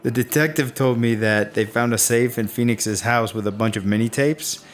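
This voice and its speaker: A man's voice: medium pitch, moderate pace, lightly acted. A man acting an easygoing drinking buddy in a naturalistic radio drama, with an everyday voice. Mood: casual, relieved.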